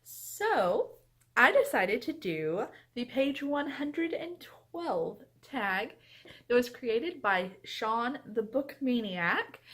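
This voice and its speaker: A woman's voice: sits high, fairly bright tone. A woman chats casually to her viewers as a book vlogger, gushing and leaning on her words. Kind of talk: casual vlog chat to viewers.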